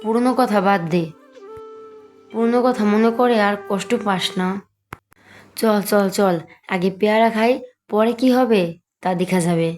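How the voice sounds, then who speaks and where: A woman's voice: mid-range voice, a little slow, long pauses, darkish tone, soft background music, lightly acted. A woman voicing a bossy, scheming, argumentative young village girl in a cartoon. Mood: coaxing. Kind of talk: cartoon voice acting.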